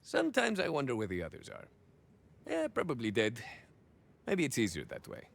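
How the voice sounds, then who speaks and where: Cocky cyborg ex-soldier, Mexican-American accent, hugely swooping intonation, mid-range pitch, rambling, theatrical, video game monologue. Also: male voice, huge pitch swings, moderate pace, creaky, full character voice. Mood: somber. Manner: rambling, hesitant, theatrical, peppering talk with Spanish. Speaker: playable game character.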